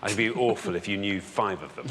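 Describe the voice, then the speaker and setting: A British man presenting a television quiz show, deliberate in his delivery and building suspense as he goes. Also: lowish voice, crowd noise. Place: television studio.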